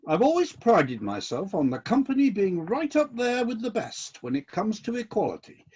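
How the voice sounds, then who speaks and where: An actor playing an exacting, fair-minded celestial boss in a satirical radio play, speaking in a measured, questioning and politely insistent way. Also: mid-range voice, huge pitch swings, darkish tone, muffled recording, a little hiss or hum. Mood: politely critical.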